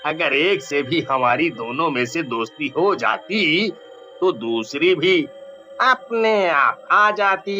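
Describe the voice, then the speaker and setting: Casting: sleazy, jumpy young village crony, acted by a man, piercingly bright voice, unhurried, for a cartoon. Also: fairly high pitch, animated, lo-fi sound, faint music behind, full character voice. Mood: wheedling, amused. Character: sleazy young village sidekick, scheming yet easily panicked. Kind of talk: Hindi cartoon story voice acting.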